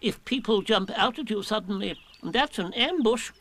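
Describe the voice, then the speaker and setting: A man's voice: sits high, lively intonation, moderate pace, fairly bright tone, pitched above the performer's own voice, exaggerated voice. A man voicing a pompous old male owl from a storybook, who talks in a superior, lecturing way.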